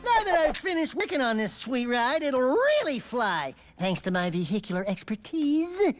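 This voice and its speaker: A man's voice: very high-pitched, sing-song, moderate pace, no echo, soft background music. A man voicing a small cocky animal sidekick in a video game, mouthy, boastful and comically whiny. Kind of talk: cartoonish game voice acting.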